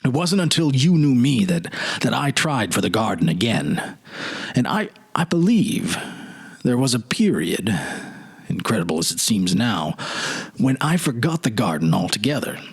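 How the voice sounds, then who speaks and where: Guy doing wistful middle-aged gentleman remembering childhood, kinda husky, hesitant, pitch goes up and down a lot, storybook drama. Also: big pitch swings, normal speed, heavily acted. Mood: hesitant, musing. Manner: hesitant, musing, reminiscing.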